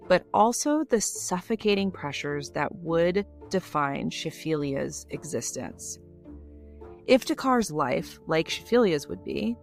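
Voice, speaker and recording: A woman co-hosting a podcast, narrating a true-crime case and leaning hard on key words. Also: low voice. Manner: emphatic, stressing key words. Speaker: podcast co-host.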